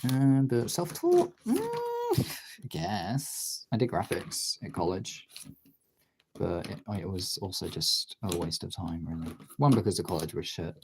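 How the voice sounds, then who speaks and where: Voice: low voice, big pitch swings, a little slow, darkish tone, a little hiss or hum. A streamer chatting casually while drawing, rambling and thinking aloud in a self-deprecating way.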